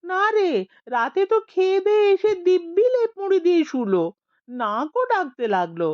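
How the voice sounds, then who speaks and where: A woman voicing a fussy, sharp-tongued elderly village aunt who scolds and exclaims. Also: extremely high pitch, sing-song, moderate pace, no room sound, highs cut off, voice pushed up. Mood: worried.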